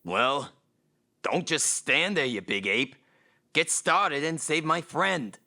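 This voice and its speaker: A man playing a sarcastic, excitable hero in a comedic audio drama, slangy and exclamatory. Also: fairly high pitch, slow pace, heavily acted. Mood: gruff.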